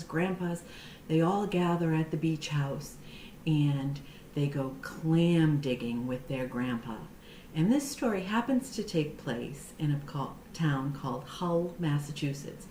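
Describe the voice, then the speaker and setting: A woman reading a children's picture book aloud as a storytime teacher, with deliberate, expressive phrasing for young listeners. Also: deep voice, slight background noise.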